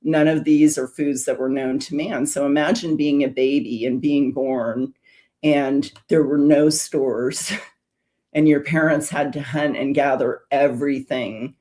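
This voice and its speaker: A woman's voice: very deep voice, steady tone, unhurried, dark tone. A woman speaking as an interview guest on a video call, earnestly telling a long story and often restarting her sentences. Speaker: guest, food addiction recovery specialist.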